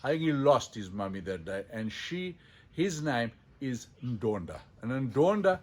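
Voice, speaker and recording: A man's voice: mid-range voice, very animated. Theatrical man telling stories. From Zimbabwe. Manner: theatrical, with sound effects and dramatic pauses. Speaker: storyteller, sculptor presenting his own elephant figures. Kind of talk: animated unscripted storytelling.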